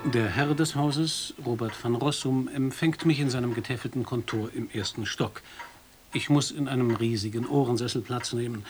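An actor playing a radio correspondent in a radio play, narrating his report in a dry, measured, observant way and questioning a host. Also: mid-range voice, soft background music, lightly acted. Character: male foreign radio correspondent, wry observer.

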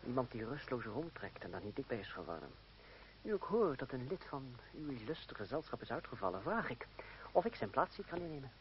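An actor playing a witty, educated, self-assured wandering gentleman in a radio play, speaking eloquently with dry irony and courtesy. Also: medium pitch, very animated, fairly quick, natural voice. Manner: eloquent, dryly ironic, courteous. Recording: dull, hissy old radio recording.

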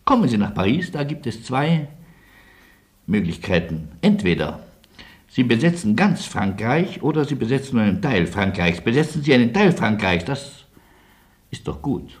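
An actor playing a wry, anxious middle-aged refugee in a radio play, reasoning with deliberate irony and old-world courtesy. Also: male voice, mid-range voice, big pitch swings, slightly rough. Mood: wry, insistent. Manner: deliberate, pointed, ironic reasoning. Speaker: lead character and narrator. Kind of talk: theatrical radio play acting and narration.

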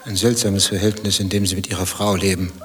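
A probing, pedantic stranger acted by a man in a satirical radio play. His lowish voice fires off dry, needling questions at a quick pace. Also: little pitch movement, close to an everyday voice. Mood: pedantic, curious. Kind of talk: dialogue-driven satirical radio play acting.

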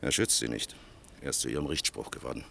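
A very deep, gravelly, weary older official. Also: male voice, fairly bright tone, slight background noise, full character voice. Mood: grave explaining. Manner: measured, sardonic, rhetorical. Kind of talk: German radio play acting, 1980.

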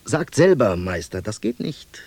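An actor playing a gendarme in a radio drama, blustering yet deferential and philosophically minded, theatrical in delivery. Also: male voice, lowish voice, big pitch swings, a little slow, a little mellow, dry recording. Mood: musing, earnest. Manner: theatrical, shifting from barked orders to reverent musing. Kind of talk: German radio drama acting.

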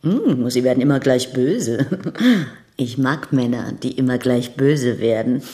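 An actress playing a brash, world-weary young bride-to-be who is coquettish, blunt and provocative, in a radio crime drama. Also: female voice, a bass voice, animated, unhurried, warm tone. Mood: coy, teasing. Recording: studio radio drama with music underneath.

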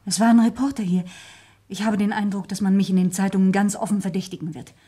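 An actress playing a proud, secretive, anguished young gentlewoman in a German radio crime drama, formal and solemnly dramatic. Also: medium pitch, steady tone, fast talker, husky, close to an everyday voice. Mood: fearful, anguished.

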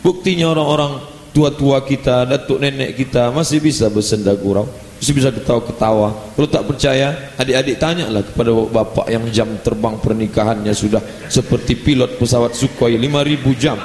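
Animated preacher in an echoing hall. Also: male voice, mid-range voice, a little fast. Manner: lively, animated delivery with playful asides. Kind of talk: religious lecture. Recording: roomy hall echo, faint ambience.

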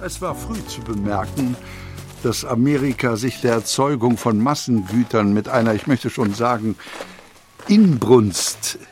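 A man plays an earnest, reflective industrialist in an audio documentary. His voice sits in a medium register and he speaks deliberately, with old-fashioned formality. Faint music runs with it. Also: mid-range voice, a little mellow, lightly acted. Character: reflective male industrialist recalling his era, earnest, measured. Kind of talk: acted quotation in an audio documentary.

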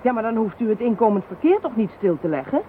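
A woman's voice: on the high side, big pitch swings. Commanding, sardonic female inspector; tinny lo-fi recording, light hiss. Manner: brisk, commanding, dry sarcasm. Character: brisk sharp-tongued female police inspector. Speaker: police inspector. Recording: tinny lo-fi old radio recording.

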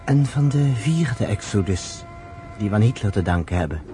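An actor in a radio play voicing an earnest, patient storyteller, with measured, rhetorical phrasing. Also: male voice, fairly low pitch, expressive, dark tone, faint music behind, close to an everyday voice. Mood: solemn, impassioned. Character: earnest, patient male storyteller explaining his people's history. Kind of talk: radio drama acting. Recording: slightly noisy old radio recording.

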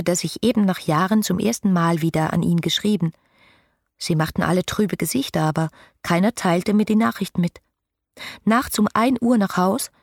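An actress playing an ardent, yearning romantic woman in a literary radio drama, with fervent, lyrical delivery that can turn hushed. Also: on the low side, husky, no room sound, clean studio sound.

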